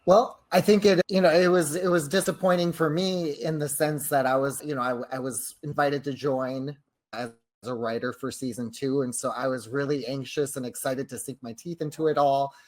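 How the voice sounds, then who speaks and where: A mid-pitched voice in relaxed podcast conversation. The writer talks with good humour, hesitating and rephrasing along the way. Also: moderate pace. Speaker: writer on the show. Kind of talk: casual podcast chat.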